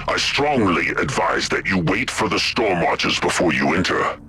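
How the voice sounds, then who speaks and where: A stern low-voiced space marine grandmaster. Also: male voice, sing-song, moderate pace, bright, forceful tone, a little hiss or hum, full character voice. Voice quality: commanding, gruff military delivery.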